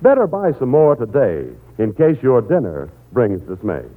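A man's voice: medium pitch, wildly swooping pitch, moderate pace. An American man announcing a sponsor message in 1940s radio advertising style. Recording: muffled old narrow-band radio recording.

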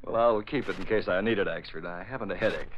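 An actor playing a shrewd, dryly amused newspaper boss in an old radio drama, wry and confident. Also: male voice, deep voice, quick pace, close to an everyday voice. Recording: muffled, noisy old radio recording.

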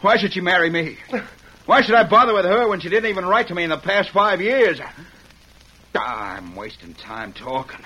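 An actor playing a wronged ex-convict cowboy in an old-time radio western, speaking with hard emphasis. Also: male voice, high voice, expressive, moderate pace, bright, forceful tone, husky, heavily acted. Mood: bitter anger. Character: bitter, vengeful young cowboy, wronged ex-convict. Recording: noisy old radio recording.

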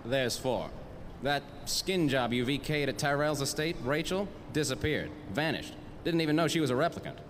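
An actor playing a crude, cynical police boss with blunt, slangy talk in a 1940s-style noir radio drama. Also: male voice, on the high side, normal speed, hardly any pauses, a bit bright, slight background noise, close to an everyday voice. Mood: gruff, sardonic.

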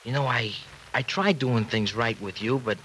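A man's voice: mid-range voice, moderate pace, fairly bright tone, lightly acted. Man acting slangy American regular guy, old radio drama. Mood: earnest, regretful. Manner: slangy, hesitant, plain-spoken. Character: rough-edged, slangy, soft-hearted regular guy named Freddie. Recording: noisy old radio show recording.

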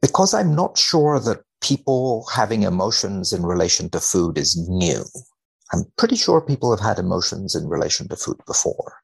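A man speaking as a researcher guest in conversation, wry and measured, giving tongue-in-cheek commentary with mock seriousness. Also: low voice, sing-song, gravelly, breathy edge. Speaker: podcast guest, biomedical researcher.

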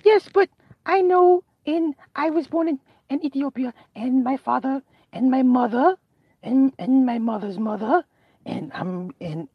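A man voicing a soft-spoken, earnest little schoolgirl who halts and repeats herself. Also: very high voice, husky, dry recording, muffled recording, pitched above the performer's own voice, full character voice. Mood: halting. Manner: earnest, halting.

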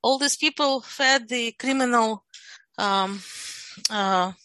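A woman born in Ukraine who grew up speaking Russian talks through her views in a relaxed group conversation. Her voice is bright and slightly high, recorded dry and close. She stops and restarts often and reaches for words with fillers. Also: no room sound. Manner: halting, many restarts and fillers. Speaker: guest. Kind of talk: casual group discussion.

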